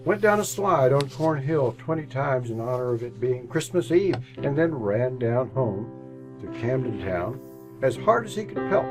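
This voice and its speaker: A man with a mid-pitched voice narrates a radio drama. He reads in an expressive, theatrical storybook manner, with clear piano music underneath.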